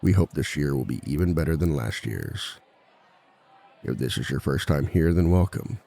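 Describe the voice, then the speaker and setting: Very deep, gravelly villain lecturer, acted by a man. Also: animated, warm tone, heavily acted. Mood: welcoming, composed. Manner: measured, polite, dryly authoritative. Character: composed, dry-witted male villain teaching a relationship seminar. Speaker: seminar instructor. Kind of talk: scripted ASMR roleplay monologue.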